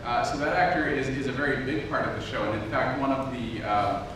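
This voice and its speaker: Man, informal self-deprecating lecturer, mid-pitched, recorded from a distance in a noisy room. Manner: casual, self-deprecating, quick to chuckle. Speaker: audio drama producer giving a lecture. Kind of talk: informal talk with audience questions. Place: indoor room with an audience. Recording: very echoey room, distant mic, noisy rumble.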